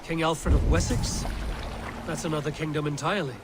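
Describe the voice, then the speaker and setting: A man's voice: on the high side, steady tone, brisk, very noisy, natural voice. A man playing a blunt, practical adult Viking warrior in a video game. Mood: questioning, skeptical. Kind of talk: video game cutscene acting.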